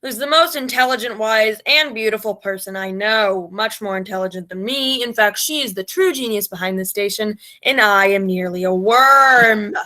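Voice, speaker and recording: A woman's voice: mid-range voice, normal speed, hardly any pauses, bright, forceful tone, close to an everyday voice. A woman playing an earnest, melodramatic self-styled genius announcer in an old-time radio play, solemn and theatrical. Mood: grudging flattery.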